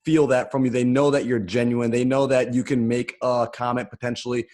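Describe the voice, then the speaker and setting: A man's voice: mid-range voice, fairly even intonation, fairly quick, a little mellow. A young man hosting an interview from his home office over a video call, asking long, earnest questions. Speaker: podcast host. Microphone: desk microphone on video call.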